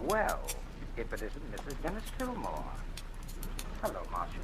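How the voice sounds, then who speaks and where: An actor playing a smooth, sleazy former insurance investigator who blackmails a woman, talking in an oily, taunting drawl, in 1940s radio drama style. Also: medium pitch, wildly swooping pitch, moderate pace, music in the background.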